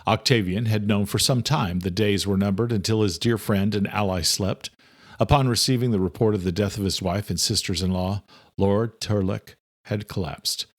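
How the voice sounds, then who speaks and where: A man with a low voice narrates an audiobook. The delivery is scripted and dramatic, in the manner of a thriller story. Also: steady tone, moderate pace.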